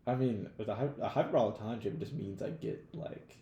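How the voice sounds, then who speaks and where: A man's voice: on the low side, fairly quick, warm tone, echoey room, slight background noise. A man co-hosting a podcast, chatting casually in slangy, profane banter peppered with "like". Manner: slangy, profane, laughs often, hedges with "like". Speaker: podcast co-host. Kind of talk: casual banter, would-you-rather questions.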